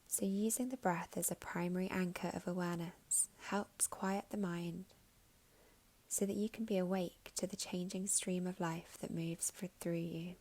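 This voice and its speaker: Low, soft, creaky woman guiding meditation. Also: steady tone. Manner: soft, soothing, unhurried with long pauses. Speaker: meditation guide. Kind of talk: guided meditation narration.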